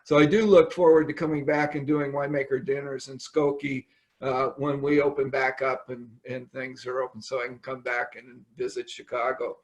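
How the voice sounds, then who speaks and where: A man's voice: mid-range voice, little pitch movement, normal speed. An older man from California, a winemaker talking casually over a video call from his home wine cellar, rambling with many ums and uhs.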